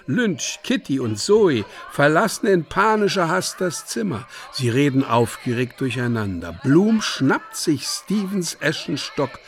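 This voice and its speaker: High-pitched, very animated dramatic narrator. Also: male voice. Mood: vivid, breathless listing. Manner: deliberate, emphatic, theatrical. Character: vivid, theatrical stage-direction narrator, relishing grotesque detail. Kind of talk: dramatic literary radio play narration.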